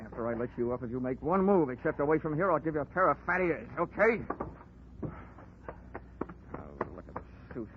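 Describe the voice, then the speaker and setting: An actor playing a wisecracking tough investigator in a 1940s radio drama, wry and clipped. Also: male voice, a little high, animated, fairly quick, pauses often, phone-quality sound, a little hiss or hum, lightly acted. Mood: tough, sardonic. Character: hard-boiled wisecracking male investigator narrating his case. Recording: thin band-limited 1940s radio recording, music.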